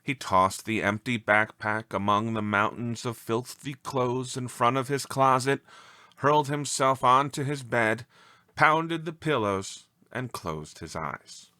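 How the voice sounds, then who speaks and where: A man reading a scripted story as narrator, with an expressive, measured and dramatic delivery. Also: mid-range voice, no room sound, clean studio sound.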